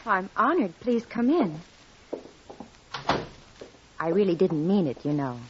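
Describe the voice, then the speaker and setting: An actress playing a flirtatious, devoted lady admirer in 1950s radio drama, genteel and deliberate, with a breathy, husky voice. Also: medium pitch, big pitch swings, background noise. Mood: coy. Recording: muffled vintage radio recording.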